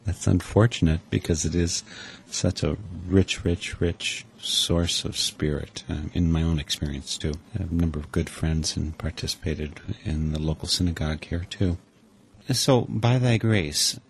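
A man from Wisconsin hosting a radio program, interviewing a musician in an unhurried, curious way. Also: sits low, a little hiss or hum. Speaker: radio host.

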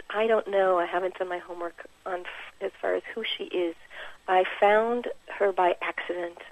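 A musician being interviewed on the radio, with a mid-range voice. They speak in a reflective, warm manner and laugh easily. The sound is dry and close, with no room echo. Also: mid-range voice. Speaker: musician guest. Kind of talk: conversational interview.

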